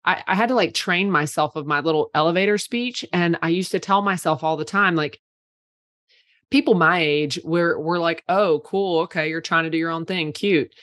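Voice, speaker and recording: A woman in her thirties with a low voice chats casually as a podcast guest. She tells stories with lots of "like" fillers. The sound is dry and close. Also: moderate pace, dry recording. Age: 30s. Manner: chatty, many "like" fillers, animated storytelling. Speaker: PR company owner, guest.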